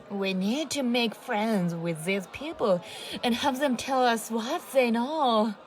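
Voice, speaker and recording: A woman voicing a kind, easygoing young yokai woman in a radio drama, polite and gently chiding. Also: a little high, slow pace, a faint crowd, slight background noise, poor audio. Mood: friendly, chiding.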